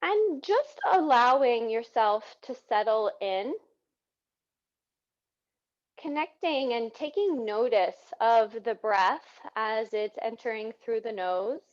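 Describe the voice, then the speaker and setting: A woman with a fairly high voice leads a yoga class through breath awareness. She speaks gently and soothingly, letting her phrases unfold without hurry. The recording is somewhat dull and lo-fi. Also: animated, takes it slowly. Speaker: yoga teacher. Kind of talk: guided yoga breathing instruction. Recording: dull, muffled lo-fi sound.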